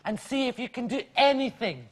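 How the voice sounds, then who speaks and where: A man's voice: very high voice, animated, brisk. A man co-hosting a live stage show with casual, playful banter, mixing Hindi into his English. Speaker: co-host. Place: on stage in a radio theatre. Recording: noisy live stage recording with clicks.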